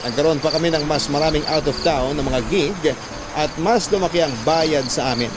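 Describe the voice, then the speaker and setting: A man's voice: fairly high pitch, very noisy. A man narrating a listener's letter as a scripted, dramatic first-person story. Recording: loud music bed under the voice.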